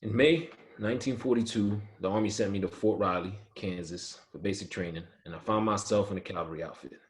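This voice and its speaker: A man playing an earnest, principled young Army soldier in a screenplay table read, measured and quietly firm. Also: fairly low pitch, natural voice. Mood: reflective, earnest. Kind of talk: screenplay table read, first-person narration and dialogue.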